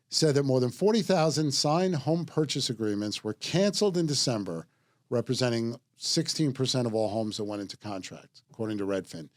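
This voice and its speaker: Easygoing man hosting a real estate chat. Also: medium pitch. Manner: joking, easygoing, reads out news figures. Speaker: podcast host. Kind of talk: casual panel discussion.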